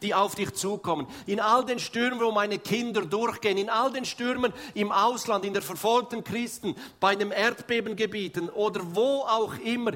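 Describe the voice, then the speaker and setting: Man preaching, lively storytelling. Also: high voice, normal speed, hardly any pauses. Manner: storytelling, acts out prayers and quotes with rising voice; asks the audience rhetorical questions. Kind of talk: sermon.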